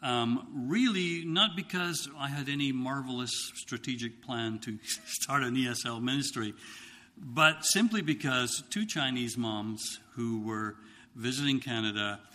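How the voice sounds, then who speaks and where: A man's voice: mid-range voice, pushed and bright. Man, 50s, light Scottish accent, missionary addressing a congregation, hesitant delivery in a reverberant hall. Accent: slight Scottish. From Scotland. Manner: halting, many ums and restarts. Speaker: missionary speaker. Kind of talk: church talk to congregation.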